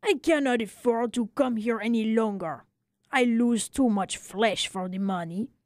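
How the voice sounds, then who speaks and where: A woman playing an excitable, tender-hearted little French barber telling his tale in a dramatic, emphatic way for a radio story. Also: fairly high pitch, a little slow, no room sound, clean studio sound, close to an everyday voice. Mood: indignant.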